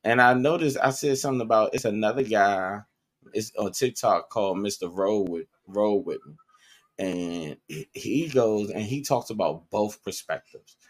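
A man co-hosting a podcast in casual chat, animatedly retelling what others said. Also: lowish voice. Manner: animated storytelling, quoting others. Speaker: podcast co-host.

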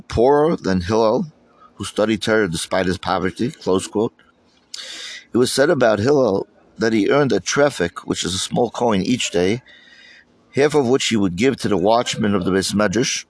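A man's voice: on the low side, dry recording. A man with a congested voice from a head cold, reading a religious text aloud and explaining it as a teacher. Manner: reads aloud, then explains in plain words, with Hebrew terms mixed in. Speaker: Torah teacher.

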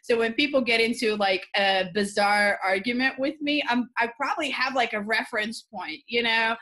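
A woman hosting a podcast, chatting casually and telling things in an animated, candid way. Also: on the high side, moderate pace. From Albania. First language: Albanian. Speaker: podcast host. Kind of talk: casual conversational interview.

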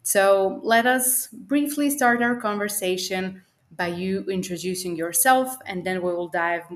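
A woman's voice: medium pitch, a little slow. Young woman hosting a podcast interview. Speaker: podcast host.

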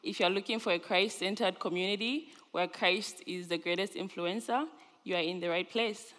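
A woman's voice: lowish voice, moderate pace, a bit bright. A young woman from Zambia, a senior student, giving an earnest chapel sermon with light laughs. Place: large chapel hall. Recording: live chapel hall with long reverb.

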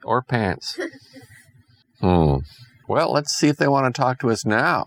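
A man's voice: medium pitch, a little slow, a little hiss or hum, voice pushed up. A man doing a frantic, unhinged spaceman. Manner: excitable, jittery. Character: frantic, unhinged male space crewman, jittery and loud.

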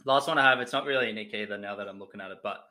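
Low-voiced young man, hesitant and hedging. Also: very fast, bright, forceful tone. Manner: hesitant, hedges his opinions. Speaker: podcast guest, a host's boyfriend. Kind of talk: casual chat.

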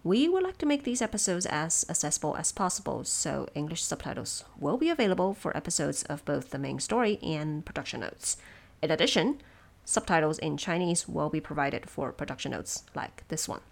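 A woman hosting production notes, explaining things in a measured, semi-scripted and lightly wry way. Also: sits low, sing-song, gravelly. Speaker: host of production notes for an audio drama.

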